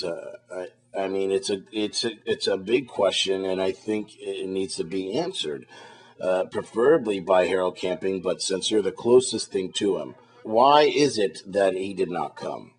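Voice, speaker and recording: A man with a fairly low, husky voice conducts a confrontational interview. He challenges his guest haltingly, restarting and repeating his questions.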